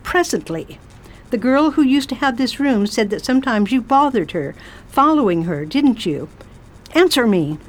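A woman's voice: fairly high pitch, expressive, moderate pace, rough recording. A woman playing an imperious, curious English lady traveller in an audio drama, speaking in a formal, old-fashioned way. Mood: commanding, scornful. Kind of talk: audio drama acting and diary narration.

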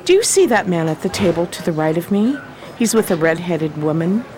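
Woman acting bold, lively low-voiced 1920s crime heroine. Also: brisk, over music. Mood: probing, teasing. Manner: sociable, probing, slangy. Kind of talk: 1920s period radio theater acting.

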